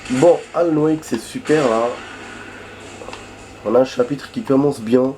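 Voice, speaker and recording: A man commenting on a video game while hoarse from a cold, rambling chattily and swearing in surprise at the action. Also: mid-range voice, a little slow. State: hoarse from a cold, losing his voice. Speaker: gaming video host. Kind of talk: casual let's-play commentary. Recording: background noise and game sounds.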